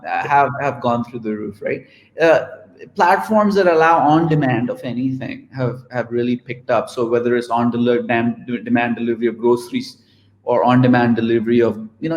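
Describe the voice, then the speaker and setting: A man speaks on a video call panel in an easy, talky way, dropping "you know" and "right?" between points. His voice sounds roomy, with a clear echo around it. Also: mid-range voice. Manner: relaxed, conversational, frequent "right?" and "you know". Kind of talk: online panel discussion.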